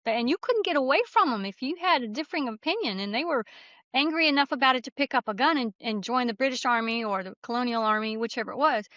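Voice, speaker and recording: A woman's voice: on the high side, a bit bright, no echo, dull audio. A woman interview guest explaining things conversationally, with plainspoken, folksy phrasing. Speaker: author guest being interviewed.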